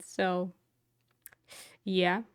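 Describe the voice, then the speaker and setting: A woman chatting casually who laughs often and speaks in an unhurried way. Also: lowish voice, flat delivery, smooth, no room sound, clean studio sound. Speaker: streamer. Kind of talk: casual chat.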